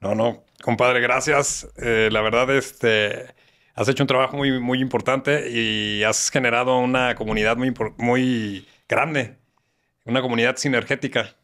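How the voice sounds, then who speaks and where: A man's voice: mid-range voice, steady tone, unhurried. A man in his forties with a Mexican accent, a guest on a podcast, speaking earnestly with fillers. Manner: earnest, warm thanks, fillers like "este". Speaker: guest, notary. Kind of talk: podcast interview. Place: studio. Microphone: studio mic on boom arm.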